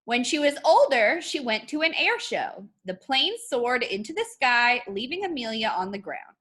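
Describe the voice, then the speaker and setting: Bright, lively high-voiced woman reading aloud. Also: normal speed. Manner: expressive, instructive, addressing young students. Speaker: art teacher. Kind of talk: children's picture-book read-aloud.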